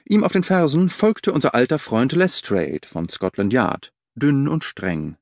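A man narrating an audiobook in a measured, expressive reading. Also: mid-range voice, dry recording, clean studio sound.

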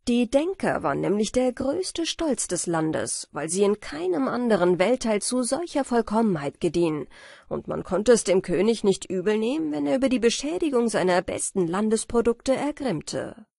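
A woman reading a fairy-tale audiobook as narrator, in an expressive storytelling style. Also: fairly high pitch, normal speed, vocal fry, no room sound, clean studio sound.

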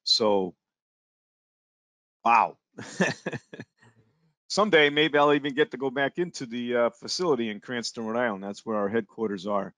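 A man's voice: medium pitch, normal speed, pauses often, a bit bright, no echo. A man presenting an online training webinar, friendly and informal, with hesitant fillers. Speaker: applications engineer, presenter.

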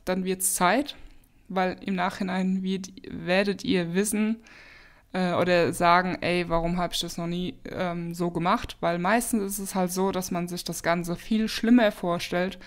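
A woman hosting a podcast, talking casually with a slight cold and giving advice, chatty with many fillers and restarts. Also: medium pitch. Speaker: podcast host.

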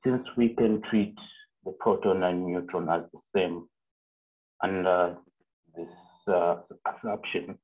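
Young man from Zambia, low husky voice, halting online lecture, tinny call audio. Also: fairly even intonation. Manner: halting, many fillers and self-corrections. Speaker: physics PhD student presenting a seminar. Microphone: video call. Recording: narrow phone-like call audio.

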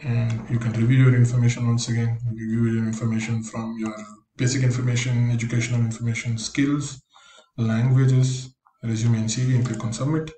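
A man talks through a screen-recorded tutorial, explaining each step plainly. His voice is mellow and fairly monotone. The room is echoey, with a faint hiss behind him. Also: medium pitch, a little fast. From India. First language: Konkani. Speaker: career coach.

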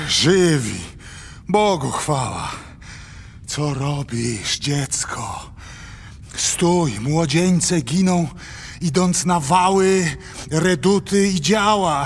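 An actor playing an agitated, admonishing aristocratic father in a radio play, husky and declamatory. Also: a little high, expressive, extremely slow, pauses often, heavily acted. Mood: relieved, scolding. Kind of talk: dramatic verse acting in a radio play.

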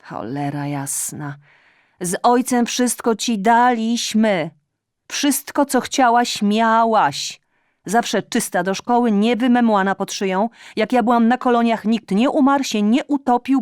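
Woman putting on a loud shrill old mum, nagging, high and pushy, dry sound. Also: slow, smooth, no echo. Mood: scolding. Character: shrill nagging older mother, half-deaf.

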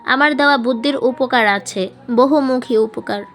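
A woman's voice: sits high, normal speed. A woman voicing a cheeky, playful grown man in an audiobook, teasing with mock-serious, pompous reasoning. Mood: smug teasing. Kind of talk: audiobook character dialogue.

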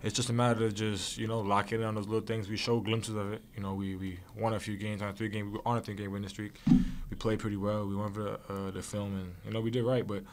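A young male basketball player talks quickly and casually to reporters after a game, repeating his points. His voice sits fairly low and stays flat in tone. Faint room hum sits behind his desk mic. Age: young adult. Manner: casual, rapid, repetitive phrasing. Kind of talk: postgame press conference answers. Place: press room with logo backdrop. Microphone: desk mic on stand.

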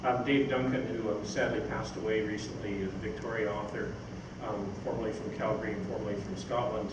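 A man's voice: fairly low pitch, level tone, fairly quick. An older man giving an informal, rambling, anecdotal talk on stage, answering questions from an audience. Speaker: speaker, science fiction writer. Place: on stage in an event hall. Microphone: far camera microphone. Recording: echoey hall from far off, noisy.

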